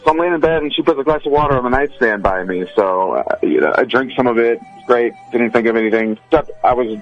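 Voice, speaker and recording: A young man with a medium-pitched voice talks over a muffled phone line to a radio show. He is casual but halting and reluctant, repeating himself as he goes. There is faint music behind him. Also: lively intonation. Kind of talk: casual chat.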